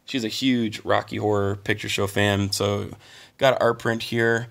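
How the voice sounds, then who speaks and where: Hoarse man for a laid-back collector vlog, low and gravelly, keen but quiet. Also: level tone, measured pace. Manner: enthusiastic, talking a little quiet. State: hoarse from singing and little sleep. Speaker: channel host.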